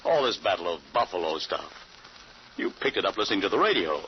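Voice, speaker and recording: A man's voice: deep voice, big pitch swings, quick pace. A man playing a doubting, bewildered American father in an old radio drama, with a hesitant, incredulous way of speaking. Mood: dismissive, incredulous. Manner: hesitant, incredulous, repeats words. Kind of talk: 1940s-50s radio drama acting. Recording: muffled, noisy old radio recording.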